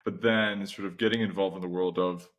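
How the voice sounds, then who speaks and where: Deep-voiced male podcast host. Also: steady tone, a bit bright. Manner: measured, repeats words while forming questions. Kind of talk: podcast interview.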